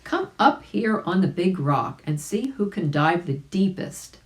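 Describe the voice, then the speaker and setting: A woman voicing a lively, playful young male mink in a children's storybook, calling out eagerly. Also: fairly low pitch, unhurried, pitched above the performer's own voice.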